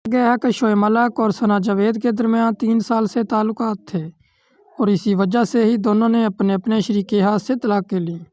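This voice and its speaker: A woman's voice: mid-range voice, warm tone, no echo, studio quality. A woman with a Pakistani accent reading a scripted celebrity news voice-over steadily, in formal phrasing. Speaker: news narrator.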